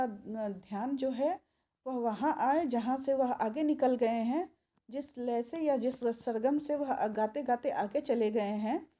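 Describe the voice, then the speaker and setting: Slow-talking woman explaining a poem. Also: sits high, mellow. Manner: slow, repeats phrases, many filler pauses. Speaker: teacher. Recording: dull lo-fi sound with faint noise.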